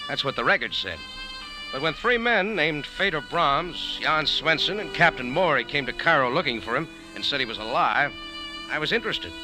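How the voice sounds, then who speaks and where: An actor playing a cool, wry American café owner and sleuth, laconic and deadpan in 1940s radio drama style. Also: male voice, on the high side, moderate pace, low-quality recording. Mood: cool, narrating. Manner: laconic, hard-boiled, deadpan. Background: music.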